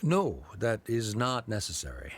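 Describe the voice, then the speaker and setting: A man's voice: lowish voice, wildly swooping pitch, a little slow, exaggerated voice. A man playing a proud, reserved middle-aged scholar and father in a video game, speaking formally and precisely. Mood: reserved, reflective.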